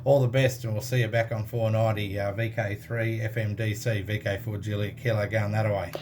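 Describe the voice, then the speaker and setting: An Australian man working as an amateur radio operator, chatting casually over a contact in halting phrases full of operator jargon. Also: on the low side, level tone, darkish tone, slight background noise.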